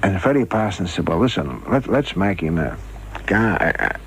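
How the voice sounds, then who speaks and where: An older man with a husky, gravelly voice, telling anecdotes in an animated way. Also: low voice, normal speed, a little hiss or hum. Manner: animated storyteller, acts out dialogue. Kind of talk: reminiscing anecdotes with acted quotes.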